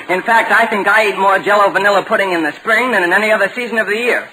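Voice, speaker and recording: A man's voice: high-pitched, quick pace, sharp tone, low-quality recording. An actor playing an earnest, droll young man giving a testimonial in a 1940s radio commercial. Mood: smugly earnest. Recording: hissy vintage 1940s radio recording.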